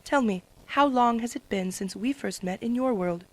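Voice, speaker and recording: A woman's voice: fairly high pitch, no room sound, lightly acted. A woman reading as a solemn regal sun princess. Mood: grave. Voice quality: measured and formal. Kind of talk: fan dramatic reading.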